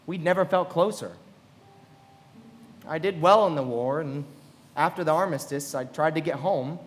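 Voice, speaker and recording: An actor playing a genteel, romantic young millionaire in a live radio play, earnest and measured, heard in a reverberant hall. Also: male voice, a little high, lightly acted. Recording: reverberant hall, music underneath.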